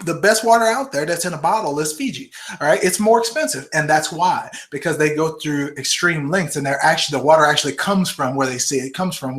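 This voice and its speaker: A man in his forties hosts a live webinar from his computer mic. He answers viewer questions in a loose, chatty way and keeps tagging "okay?" onto his points. His voice sits a bit high and sounds sharp and forceful. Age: 40s. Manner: chatty, peppers sentences with "okay?" and "all right?". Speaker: host of a live health webinar. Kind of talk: casual live-stream Q&A. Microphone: computer microphone.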